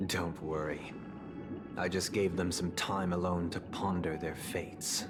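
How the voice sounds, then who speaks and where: An actor playing a composed, zealous young male villain in a game, speaking in a measured, formal and softly menacing way. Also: low voice, fairly even intonation. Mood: calm politeness. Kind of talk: dramatic game voice acting. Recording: orchestral game music behind the voice.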